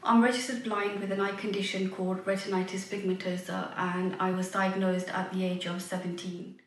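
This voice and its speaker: A woman in her forties telling her personal story in a plain, steady way. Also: medium pitch, moderate pace, recorded from a distance. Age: 40s. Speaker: charity supporter. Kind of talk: personal testimony. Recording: echoey room, away from mic, faint background ambience.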